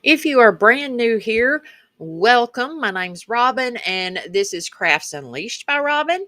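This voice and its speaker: Bright-voiced Kentucky country woman, craft host. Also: a little high, sing-song, a little slow, dry recording, clean studio sound. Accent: rural Kentucky Southern. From Kentucky, rural United States. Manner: folksy, chatty. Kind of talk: casual craft tutorial voice-over.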